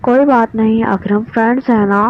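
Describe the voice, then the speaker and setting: A woman's voice: a little high, steady tone, fairly quick, close to an everyday voice. A woman voicing a friendly, helpful young male bird in a children's cartoon, simple and eager. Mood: playful. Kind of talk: children's cartoon story dub. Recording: dull, faintly noisy, radio-like.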